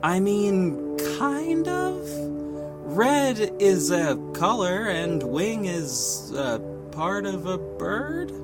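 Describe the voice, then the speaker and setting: A man's voice: high-pitched, very animated, very slow, full character voice. An actor playing a young, cocky but caring male vigilante superhero in a scripted audio drama. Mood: teasing, relaxed. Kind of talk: scripted first-person narration and acted dialogue. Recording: music behind the voice. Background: music.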